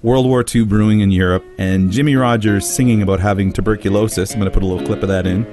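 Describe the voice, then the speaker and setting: A man's voice: on the low side, steady tone, quick pace, over music. A man hosting a casual comedy podcast, telling a story with jokes and silly put-on voices for quotes. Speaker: podcast host.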